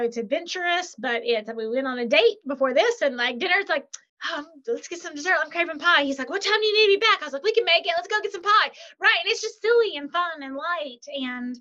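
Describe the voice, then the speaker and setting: A woman, a podcast guest and author, chatting in an animated, upbeat way and often checking in with "right?". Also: very high voice. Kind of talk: casual interview chat. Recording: lo-fi muffled sound.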